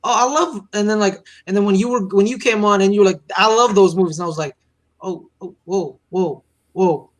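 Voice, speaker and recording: A man in his early twenties chatting on a podcast, excitable and slangy, stammering and repeating himself. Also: high-pitched, a little fast. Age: 20s. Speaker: podcast co-host. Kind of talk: casual podcast conversation.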